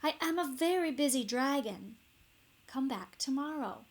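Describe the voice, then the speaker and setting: A woman puts on a haughty male dragon from a children's storybook. The words come slowly and emphatically, in a fairly high voice, full of boastful self-importance. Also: lively intonation. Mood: haughty, dismissive. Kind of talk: children's storybook read-aloud.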